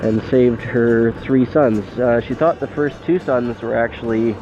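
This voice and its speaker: A man's voice: medium pitch, fairly even intonation. A man in his thirties with a head cold and a stuffed-up voice, rambling casually in a vlog with lots of fillers. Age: 30s. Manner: unhurried, thoughtful, frequent fillers. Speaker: motovlogger. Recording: dull lo-fi sound, loud music behind.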